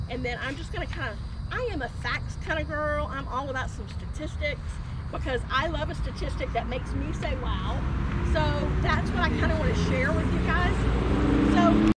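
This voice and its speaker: A woman with a big, carrying voice and a bright, high pitch teaches a workshop outside in a community garden. Her talk is chatty and upbeat, full of casual fillers. Heavy street traffic roars behind her the whole time. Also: very animated, moderate pace. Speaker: master gardener leading a workshop. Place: outdoor community garden beside a busy road. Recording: loud traffic noise outdoors. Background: passing cars and street traffic.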